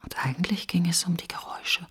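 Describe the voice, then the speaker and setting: An actress playing a middle-aged, grieving, sardonic woman, thinking aloud in fragments in a radio-play monologue, often in a breathy near-whisper. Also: on the low side, fast, fairly bright tone, no room sound, clean studio sound. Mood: dark fantasising. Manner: fragmented, musing, questioning, sardonic. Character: grieving, bitterly wry successful woman thinking aloud while driving.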